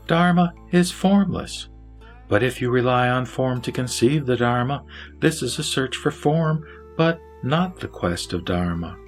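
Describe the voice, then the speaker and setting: A man's voice: mid-range voice, pitched above the performer's own voice, natural voice. A man voicing Vimalakirti, a wise lay sage teaching in a solemn, earnest way over faint music.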